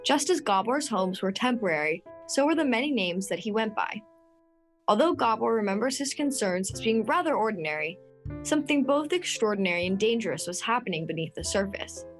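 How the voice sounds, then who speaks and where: A woman's voice: medium pitch, expressive, a little fast. A woman reading a scripted, dramatic narration as a storyteller. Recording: faint music underneath.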